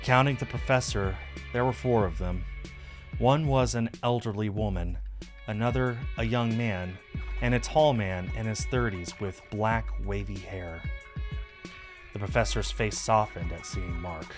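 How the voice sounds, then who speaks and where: A man's voice: fairly low pitch, a little slow, faint music behind. A man reading a visual novel aloud on a stream as scripted story narration.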